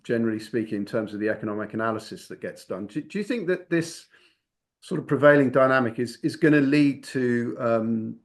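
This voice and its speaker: A man's voice: mid-range voice, a little fast. A man hosting an interview, asking long, winding questions full of fillers and restarts. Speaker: interviewer, host. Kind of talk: conversational interview.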